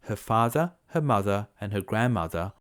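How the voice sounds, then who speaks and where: A man narrating a children's fairy tale as a bedtime storyteller, in a measured, simple delivery. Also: fairly low pitch, animated.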